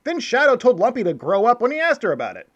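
Man playing a high, swooping, theatrical monster detective. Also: wildly swooping pitch, fast, fairly bright tone, muffled recording, full character voice. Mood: grandly dramatic. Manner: theatrical, grandiose delivery. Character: dramatic male monster boss playing detective. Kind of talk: puppet comedy parody of crime drama.

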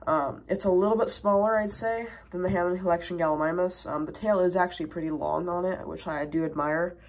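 A woman's voice: lowish voice, steady tone, a little fast. A woman with a stuffed-up cold casually reviewing a toy, rambling with many fillers, recorded at a tabletop on a camera mic. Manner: rambling, many fillers, talking while handling toys.

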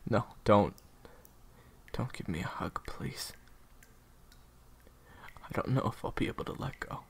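A man's voice: lowish voice, lively intonation, a little slow, slightly rough, in a whisper, heavily acted. A man playing a weary, conflicted assassin, cold but hurting, in an audio roleplay drama. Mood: anguished farewell.

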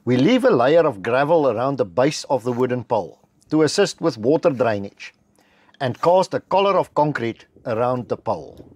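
A middle-aged South African man with an Afrikaans background, narrating a homestead building vlog in a measured, matter-of-fact voice-over. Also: mid-range voice, lively intonation, darkish tone, breathy edge. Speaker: homestead vlogger. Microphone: close voice-over mic.

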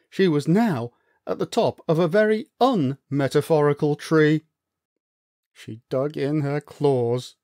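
A man narrates a story for children in a close, dry recording. His delivery is unhurried and very animated, with deliberate, dramatic pauses and emphatic phrasing. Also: on the high side, no echo.

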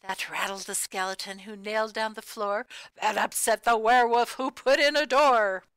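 Female storybook reader, crisp and close-sounding, expressive sing-song delivery of cumulative rhymes for young children. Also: on the high side, moderate pace, no room sound, low-quality recording. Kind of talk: animated children's read-aloud of a rhyming picture book.

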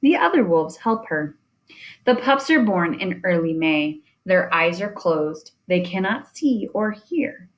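A woman reading a children's nonfiction picture book aloud in a deliberate way, with chatty asides. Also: lowish voice, very animated, lo-fi sound. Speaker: reader of children's science book.

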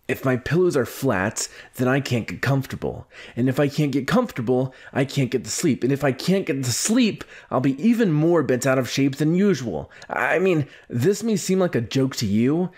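A man playing a brash, gruff young anime hero who hides his fears, in a halting, defensive, intimate ASMR roleplay. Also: medium pitch, animated, a little mellow. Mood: indignant. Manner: halting, defensive, huffy, with sighs.

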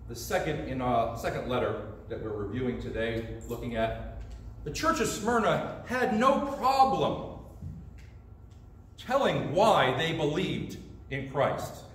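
Animated preacher in an echoey sanctuary. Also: male voice, mid-range voice, takes it slowly, a little hiss or hum. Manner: animated storyteller, gestures with both hands. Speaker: pastor. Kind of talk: storytelling sermon. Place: church sanctuary, indoors. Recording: distant echoey sanctuary sound.

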